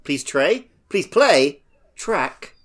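Animated male quiz host, bantering. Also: fairly high pitch, extremely slow. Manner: bantering, teasing. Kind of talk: casual panel game banter.

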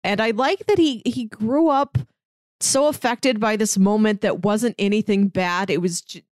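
A woman's voice: medium pitch, normal speed, dry recording. Woman chatting casually in a dry studio. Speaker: podcast panelist. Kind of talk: casual chat, retelling and reviewing.

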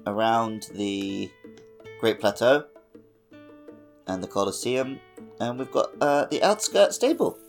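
A man's voice: medium pitch, lively intonation, slow, vocal fry, pauses often. A man co-hosting a casual podcast conversation about a video game. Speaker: podcast co-host. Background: background music.

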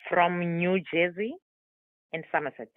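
A South African woman with a lowish voice tells her life story slowly and deliberately. Her first language is Tswana. She comes through a dry, tinny phone line. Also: steady tone, no echo. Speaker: guest author. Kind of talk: remote interview, telling her life story.

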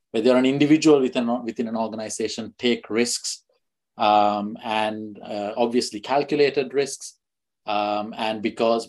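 Man, from sri lanka, lowish voice, hesitant, halting podcast guest. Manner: hesitant, many restarts and fillers. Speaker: guest, fintech executive. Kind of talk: podcast interview answer.

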